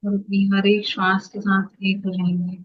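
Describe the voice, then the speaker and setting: A meditation guide giving instructions in short phrases with unhurried pauses between them. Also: medium pitch, completely flat delivery, no echo, muffled recording.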